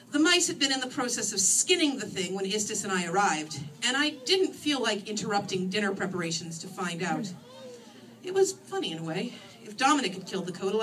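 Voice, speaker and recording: A woman reading her first-person novel aloud in an expressive, theatrical and wry manner. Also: medium pitch. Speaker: author reading own novel. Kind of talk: animated first-person story reading to an audience. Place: screening room, live reading. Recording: distant microphone in a room.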